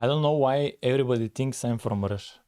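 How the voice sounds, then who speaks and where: Mellow man streaming. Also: medium pitch, fast talker, clear, resonant tone. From Bulgaria. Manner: relaxed, thinking aloud with frequent "uh". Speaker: live-streaming game developer. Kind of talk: casual live coding stream with chat.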